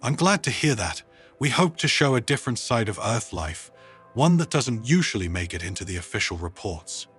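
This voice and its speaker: A man's voice: mid-range voice, sing-song, normal speed, fairly bright tone, husky, soft background music, exaggerated voice. A man voicing a wry, good-humoured woman space commander, explaining casually in a narrated sci-fi story. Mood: reflective.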